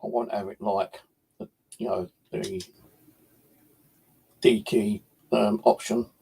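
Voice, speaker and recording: Low, husky British man, gravelly. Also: fairly even intonation, takes it slowly, warm tone, rough recording. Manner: rambling, hesitant, informal. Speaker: paranormal livestream host. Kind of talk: casual livestream chat.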